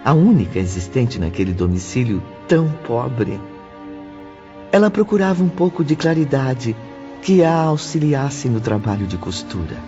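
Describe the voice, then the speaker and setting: Mellow male audio drama narrator. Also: medium pitch, big pitch swings, moderate pace. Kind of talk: scripted literary narration. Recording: dull lo-fi audio, faint music.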